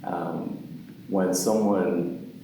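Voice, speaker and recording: Mellow-voiced interviewer in an echoey room. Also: male voice, low-pitched, steady tone, extremely slow. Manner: easygoing, filler-heavy questions. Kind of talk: interview questions. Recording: echoey room, mic far off, faint noise.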